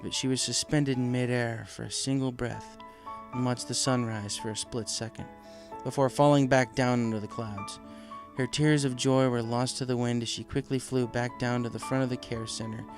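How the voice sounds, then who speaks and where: A man reading scripted story narration as the narrator of a fan fiction audiobook. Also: medium pitch. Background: music.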